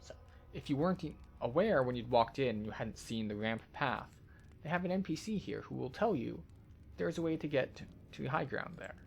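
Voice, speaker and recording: A man's voice: mid-range voice, expressive, normal speed, vocal fry. A man giving walkthrough commentary on a video game as a tour guide.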